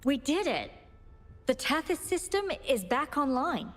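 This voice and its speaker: High-voiced earnest woman scientist in command. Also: sing-song, unhurried, faint music behind. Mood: relieved, triumphant. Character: authoritative scientist woman leading a research team, earnest and determined. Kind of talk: scripted video game voice acting.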